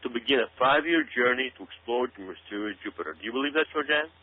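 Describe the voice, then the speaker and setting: Male radio guest commentator, medium pitch, insistent and dramatic with rhetorical questions, band-limited sound. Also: lively intonation, quick pace, narrow, tinny audio. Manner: emphatic, repetitive, with rhetorical questions. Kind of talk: dramatic speculative commentary to listeners.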